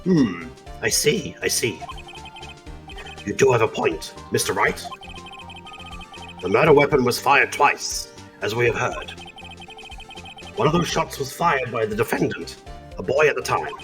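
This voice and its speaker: A man voicing a befuddled, ponderous elderly courtroom judge in a live amateur game dub, speaking slowly and pompously. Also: mid-range voice, animated, music in the background, close to an everyday voice.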